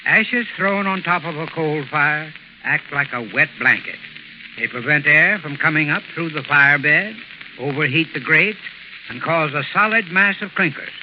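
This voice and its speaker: A man's voice: a little high, moderate pace. An American man reading a scripted 1930s radio advertisement as a formal, instructive expert. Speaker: sponsor's heating expert. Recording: muffled 1930s radio recording, faint hiss.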